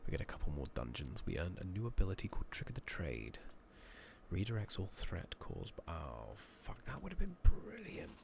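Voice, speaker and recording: A man giving soft-spoken, partly whispered ASMR commentary on a game, muttering and thinking out loud, recorded close on a makeshift mic. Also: low-pitched, huge pitch swings, normal speed, gravelly. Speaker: game streamer narrating a playthrough. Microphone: close makeshift microphone. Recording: noisy makeshift mic with clicking.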